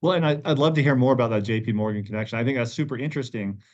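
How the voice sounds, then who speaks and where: A man's voice: mid-range voice, rapid-fire. A middle-aged man hosting a podcast in a conversational interview style. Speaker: podcast host. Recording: dull, slightly lo-fi sound.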